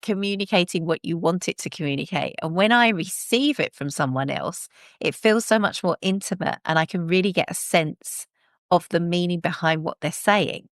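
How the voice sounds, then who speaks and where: A woman podcast host with a warm, relaxing voice, talking in a thoughtful, conversational way. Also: fairly low pitch, moderate pace, no echo, clean studio sound.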